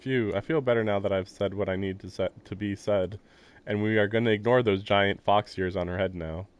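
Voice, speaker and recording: A male live streamer with a somewhat low voice gives casual game commentary. He rambles and muses in a jokey way, moving between reading narration and his own remarks. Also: steady tone, fairly quick, clean tone, lo-fi sound.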